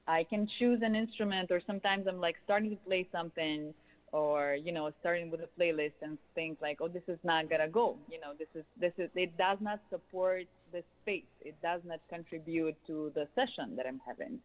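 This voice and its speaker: A woman in her thirties with a Russian accent, answering interview questions in loose, flowing, expressive phrases peppered with "you know". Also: on the low side, a little fast, poor audio. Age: 30s. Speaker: guest, sound healer and musician.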